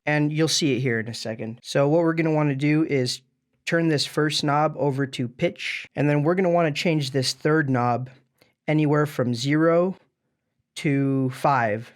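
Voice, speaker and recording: A man presenting a music gear tutorial in an easygoing, informal step-by-step way. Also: a little high.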